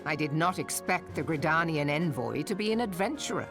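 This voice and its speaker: A woman's voice: deep voice, expressive, a little fast. A woman playing a stern, dignified admiral in a fantasy game, formal and composed, with music behind her. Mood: stern, courteous. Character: stern, dignified female admiral commanding a navy. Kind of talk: scripted fantasy game acting.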